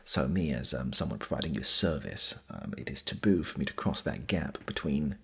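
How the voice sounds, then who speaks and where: A British man playing an awkward, needy shop worker in a comedy ASMR roleplay, speaking in a hesitant, stammering, repetitive way with a soft, husky, gravelly voice. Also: rumbling low, animated, brisk, exaggerated voice. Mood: awkward, formal. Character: awkward, needy male shop worker clumsily propositioning a customer.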